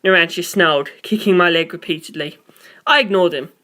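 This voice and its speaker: A man's voice: high voice, fairly quick, pushed and bright. A man serves as the narrator of a story. He reads scripted first-person prose, moving through description and inner questions.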